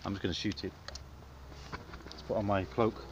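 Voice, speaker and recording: A man's voice: fairly low pitch, flat delivery, moderate pace. A middle-aged man giving casual, playful commentary on a home video in a jokey, mock-dramatic way. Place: small back garden, outdoors. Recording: muffled, noisy outdoor camera audio with clicks.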